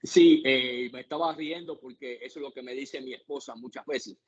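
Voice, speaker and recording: A man's voice: medium pitch, moderate pace, muffled recording. A man from Cuba with a Cuban Spanish accent, sharing hesitantly with many fillers in a group Bible study.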